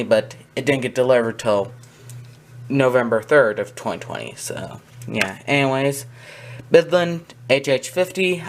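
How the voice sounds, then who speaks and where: A man giving a casual, unscripted show-and-tell in a halting way, often pausing mid-sentence. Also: medium pitch. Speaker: hobbyist video presenter. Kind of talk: casual unscripted product demonstration. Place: indoor room with wooden shelves. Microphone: handheld camera's built-in mic. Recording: background noise, amateur handheld camera recording.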